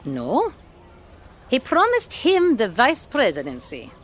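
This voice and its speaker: An actress playing a concerned, caring schoolteacher in an audio drama, speaking in a measured, earnest way. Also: female voice, on the high side, huge pitch swings, exaggerated voice. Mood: measured, troubled. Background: background music.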